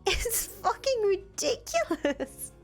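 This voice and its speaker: A woman's voice: very high voice, big pitch swings, slow pace, vocal fry, pitched above the performer's own voice, full character voice. A woman voicing an incredulous, sarcastic young woman in a visual novel, exasperated and foul-mouthed. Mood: incredulous, alarmed. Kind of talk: visual novel character voice reading. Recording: music playing behind the voice.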